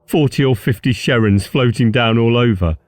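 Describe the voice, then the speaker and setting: A man's voice: mid-range voice, moderate pace, no room sound, pitched above the performer's own voice, close to an everyday voice. A man reading an audiobook as a mischievous schoolboy, eager and conspiratorial, talking casually about schemes. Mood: keen, scheming.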